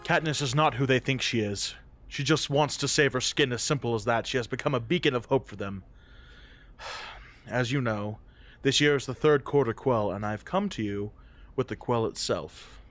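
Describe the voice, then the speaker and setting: A man playing a cold, menacing president in an amateur radio drama, measured and commanding. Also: medium pitch, a bit bright, natural voice.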